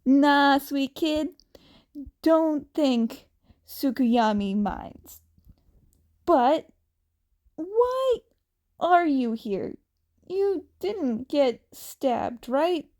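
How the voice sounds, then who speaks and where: A woman's voice: high-pitched, lively intonation, very slow, pauses often, darkish tone, voice pushed up, full character voice. A woman voicing a breezy, casual young male winged superhero in a story, with slangy, teasing talk.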